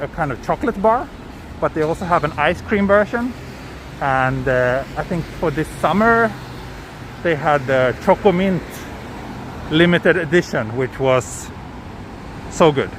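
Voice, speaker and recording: A man with a Swedish accent hosting a live walking tour, chatting casually and answering viewer questions with hesitant, thinking-aloud restarts. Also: on the high side. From Sweden. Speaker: livestream walking tour host. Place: city streets outdoors. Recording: outdoor traffic noise.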